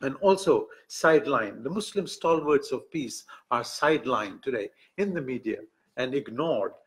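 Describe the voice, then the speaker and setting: A man from Tanzania giving a conference speech, halting and self-correcting, with light humor. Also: medium pitch, lively intonation. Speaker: speaker at an academic book event.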